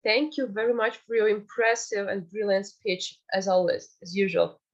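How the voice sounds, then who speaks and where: A woman's voice: mid-range voice, steady tone, moderate pace, lo-fi sound. A woman moderating an online round table, introducing speakers politely and formally.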